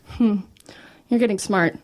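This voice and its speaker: A woman's voice: medium pitch, a little slow, close to an everyday voice. A woman playing a guarded, wry teenage older sister in an audio drama, casual and offhand. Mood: reassuring.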